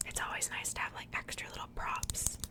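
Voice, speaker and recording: A woman speaking in a soft, breathy whisper, chatting casually and unhurriedly in the style of an ASMR unboxing. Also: fairly quick. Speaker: ASMR video creator.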